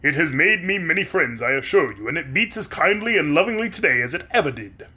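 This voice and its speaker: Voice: medium pitch, animated, a little fast, sharp tone. A reader voicing a tender-hearted male tin man who speaks courteously and earnestly.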